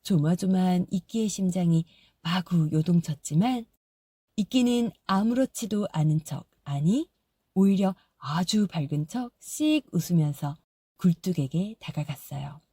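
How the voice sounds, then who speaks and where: A woman narrating a fairy tale audiobook in a soft, gentle storytelling manner. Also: on the low side, expressive, a little slow, husky, no echo.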